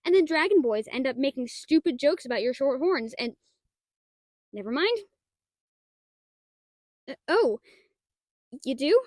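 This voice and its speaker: High-pitched, shy, flustered dragon-girl librarian voiced by an actress. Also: big pitch swings, a little slow, long pauses, a little mellow, no echo, clean studio sound. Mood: bashful. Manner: hesitant, flustered, stammering. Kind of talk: roleplay audio drama, one-sided dialogue.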